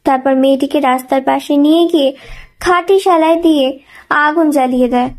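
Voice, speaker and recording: A woman's voice: high-pitched, brisk, a little mellow. A woman reading scripted narration of a dramatic thriller story as a storyteller.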